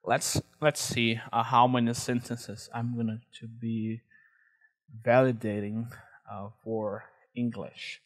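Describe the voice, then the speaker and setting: A young man with a Brazilian Portuguese accent talks through a screen-recorded tutorial at a computer. He speaks in a relaxed, halting way, full of "uh" and restarts, with an ordinary mid-range pitch. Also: poor audio. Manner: relaxed, hesitant, many fillers and restarts. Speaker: tutorial host.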